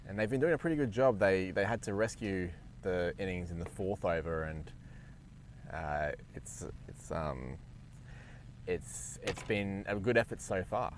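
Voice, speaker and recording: A man commentating a cricket match live, chatty and quick to laugh. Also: low voice, animated, a little hiss or hum. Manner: chatty, laughs easily, many restarts.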